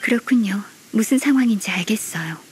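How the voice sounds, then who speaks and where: An actress playing a courteous, gentle young woman official in a video game, speaking in a measured, formal way. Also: mid-range voice, expressive, a little hiss or hum, natural voice. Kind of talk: video game character acting.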